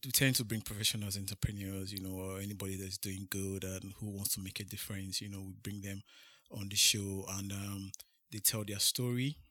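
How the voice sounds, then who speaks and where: Low-voiced male podcast host. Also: a bit bright, dry recording, studio quality. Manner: talkative, leans heavily on "you know" and restarts. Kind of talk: casual podcast interview.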